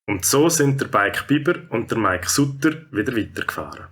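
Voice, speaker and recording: A man's voice: medium pitch, lively intonation, normal speed, a bit bright, close to an everyday voice. A man with a Swiss German accent narrating a scripted children's audio story. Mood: storytelling.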